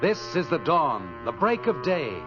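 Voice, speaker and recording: A man's voice: on the high side, wildly swooping pitch, unhurried. A man playing an earnest young man who dramatically recites flowery poetry in an old-time radio show. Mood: rapt, lofty. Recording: dull old radio recording, loud music.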